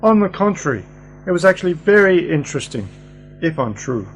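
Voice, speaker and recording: A man's voice: on the high side, sing-song, brisk, faint music behind. A man playing an eccentric, pedantic time-travelling uncle in an amateur radio play. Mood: wry, lecturing. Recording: noisy, humming home recording.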